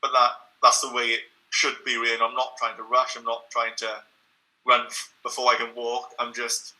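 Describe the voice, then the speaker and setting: Earnest young Englishman, bright voice. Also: mid-range voice, normal speed, low-quality recording. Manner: steady, earnest, with occasional repeated words and restarts. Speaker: interview guest. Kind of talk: reflective conversational interview.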